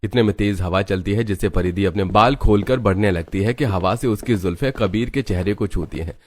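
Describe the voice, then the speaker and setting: A man's voice: fairly low pitch, a little fast, no echo. A man narrating a scripted cartoon story as its narrator.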